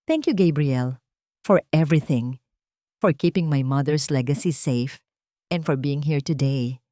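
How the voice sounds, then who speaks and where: A woman's voice: low-pitched, a little slow, mellow, dry recording, pitched below the performer's own voice. A resilient, tender heroine with a Filipino accent as a woman narrator plays her. She speaks with earnest, heartfelt gratitude in an audio drama. The recording is close and dry. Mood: grateful, tender.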